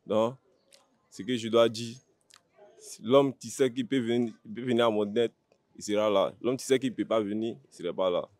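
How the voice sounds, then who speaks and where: A man's voice: mid-range voice, fairly even intonation, moderate pace, darkish tone, no echo. A man with an Ivorian accent, a fighter answering interview questions in a blunt, plain-spoken way with short, repeated phrases. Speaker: MMA fighter being interviewed.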